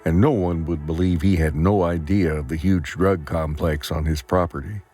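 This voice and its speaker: An actor playing a weary, regretful old farmer and trapped father, telling his story gravely in an audio drama. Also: low-pitched, fairly even intonation, moderate pace, mellow. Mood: burdened, regretful. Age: older. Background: music.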